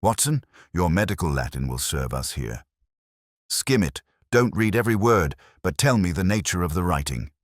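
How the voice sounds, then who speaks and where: A man voicing a shrewd, commanding Victorian detective with measured, formal speech in an audiobook story. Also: low-pitched, very animated, dry recording, clean studio sound. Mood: shrewd, brisk. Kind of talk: audiobook character dialogue.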